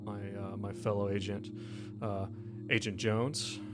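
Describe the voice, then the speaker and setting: A man's voice: on the low side, level tone, a little slow, over music, close to an everyday voice. A man playing a guarded, officious federal agent in a tabletop game. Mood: formal, guarded.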